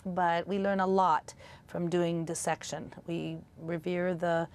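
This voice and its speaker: A woman's voice: on the low side, little pitch movement, takes it slowly, a little mellow, a little hiss or hum. An older woman, a college instructor, introducing herself and her work informally. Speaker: college biology and anatomy instructor. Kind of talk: informal self-introduction to camera.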